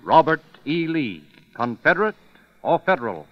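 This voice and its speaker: A man's voice: a little high, sing-song, moderate pace. A man narrating scripted stories as an announcer in dramatic, emphatic old-time radio style. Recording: dull old radio recording with music. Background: loud music.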